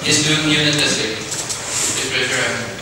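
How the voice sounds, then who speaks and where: A man's voice: medium pitch, measured pace. A middle-aged man from Belgium, not a native English speaker, giving a chatty technical conference talk to an audience and asking them questions. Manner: chatty, frequent ums, asks audience questions. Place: large lecture hall with audience. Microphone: distant room recording of a speaker on stage. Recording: echoey hall recorded from far off, noisy.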